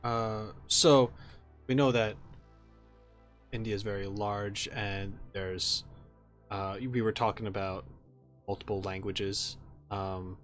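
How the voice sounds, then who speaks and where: A man's voice: lowish voice, fairly even intonation, slow, pauses often, a bit bright, soft background music. A man hosting a casual podcast interview, asking long, loose questions full of fillers like "like" and "uh". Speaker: podcast host.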